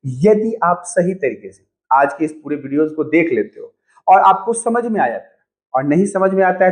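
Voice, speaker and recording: High-pitched male exam-prep teacher. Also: rough recording. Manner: chatty, repeats phrases for emphasis. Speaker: online teacher. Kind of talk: exam-prep video lesson, informal explaining.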